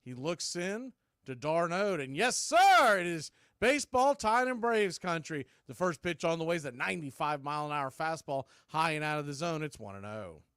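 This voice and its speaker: A man's voice: fairly high pitch, sing-song, normal speed, fairly bright tone, clear, resonant tone, dry recording, studio quality. A man hosting a live baseball stream, doing casual play-by-play and chatting with listeners in a folksy, informal way. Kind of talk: casual live sports commentary.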